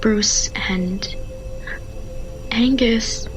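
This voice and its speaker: An actress playing a grieving, bitter young scientist in an audio drama, halting and brittle. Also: female voice, a little high, faint music behind, low-quality recording.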